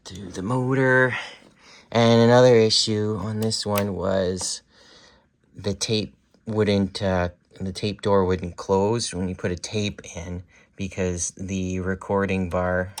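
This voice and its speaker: A man in his thirties talking casually and haltingly, thinking aloud as he presents a home repair vlog on a handheld phone. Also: sits low. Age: 30s. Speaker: hobbyist repairer showing his work. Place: carpeted room at home. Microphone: handheld phone, at arm's length.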